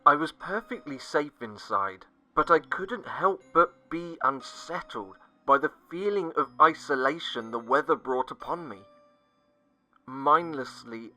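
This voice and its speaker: A man narrating a scripted first-person horror story, dramatic and sinking to hushed tones at the tense moments. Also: mid-range voice. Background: faint music.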